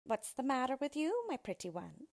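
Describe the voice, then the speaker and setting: Storybook dwarf , female reader: small malicious male, boastful and wheedling, husky, swooping delivery. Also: sits high, big pitch swings, normal speed, a little mellow, voice pushed up, full character voice. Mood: sly, wheedling. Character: malicious, boastful little male dwarf monster of fairy tale.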